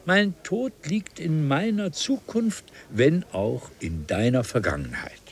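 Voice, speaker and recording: An actor playing a learned, self-assured traveller from another era in a radio play, speaking in a formal, declamatory manner. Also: male voice, a little high, sing-song, takes it slowly, exaggerated voice. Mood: impatient, animated. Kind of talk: German radio play acting. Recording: 1970s radio play with noise.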